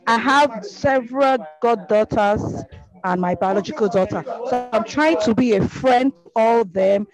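Calm woman on a video call, faint music. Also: on the high side, measured pace, low-quality recording. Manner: calm, gentle, explaining. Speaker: panelist.

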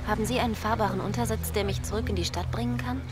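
An actress playing a composed, wry small-town sheriff in a radio drama. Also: female voice, medium pitch, fairly even intonation, fast, natural voice. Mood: tired. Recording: studio radio drama with loud music.

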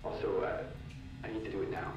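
An actor playing a hurried, evasive man who hesitates and stammers, in a video game audio log. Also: lo-fi sound, natural voice. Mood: nervous, evasive. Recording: very echoey, distant, noisy cassette recording.

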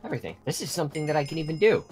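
A man's voice: on the high side, sing-song, very fast, a little mellow. A man playing an eager, casual, slightly unsure trainee in an improvised Minecraft roleplay.

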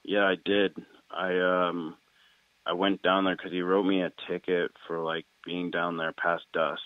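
A man's voice: low voice, level tone, measured pace, no room sound. An American man calling in over a tinny phone line, casually telling a personal story. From Washington state, United States. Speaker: caller. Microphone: phone call.

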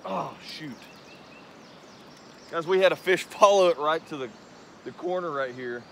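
A man's voice: on the high side, gravelly, a bit bright, a little hiss or hum. A young man hosting a fishing vlog, chatting casually and explaining his technique while casting from a riverbank, recorded outdoors on a camera set on a tripod. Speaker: fishing channel host. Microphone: tripod camera at a distance. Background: rushing river current.

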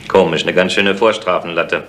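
An actor playing a curt, businesslike police officer in a 1970s German crime radio drama. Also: male voice, sits low, fairly even intonation, normal speed, fairly bright tone, a little hiss or hum. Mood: curt, dry.

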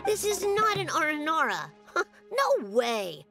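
Young kid, high and bouncy, lively, heard from a distance. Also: female voice, slow, gravelly, over music, full character voice. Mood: scornful.

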